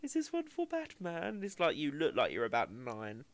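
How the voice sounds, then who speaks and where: A man's voice: on the high side, wildly swooping pitch, normal speed, no room sound. A man co-hosting a podcast in casual chat, swearing freely as he talks. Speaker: podcast co-host.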